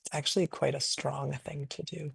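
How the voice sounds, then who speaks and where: A woman leading a guided meditation in a gentle, unhurried, invitational way, improvising softly and leaving long pauses. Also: low-pitched, fairly even intonation, creaky, slightly rough, dry recording. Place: online video call session.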